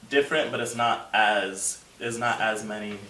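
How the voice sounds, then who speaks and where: A man's voice: fairly low pitch, moderate pace, sharp tone. A man in his twenties speaking earnestly in a casual group discussion, rambling and using many fillers. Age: 20s. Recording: echoey room, distant mic, faint noise.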